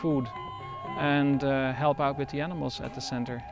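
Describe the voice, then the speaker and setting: A man running a wildlife rescue center, speaking in an interview in a thoughtful way, with pauses and restarts. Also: mid-range voice, fairly even intonation, vocal fry, music in the background.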